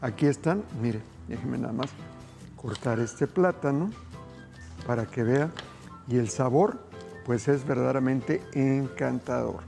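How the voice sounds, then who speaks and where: A man's voice: mid-range voice, measured pace, creaky, warm tone, soft background music. A middle-aged man hosting a cooking show, cheerfully walking viewers through a recipe. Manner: enthusiastic, addresses viewers directly. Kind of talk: cooking demonstration. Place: TV studio kitchen set.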